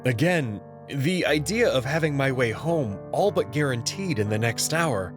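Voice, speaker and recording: A man reading a visual novel aloud in the first person, in an expressive, nervous way. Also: medium pitch, measured pace. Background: background music.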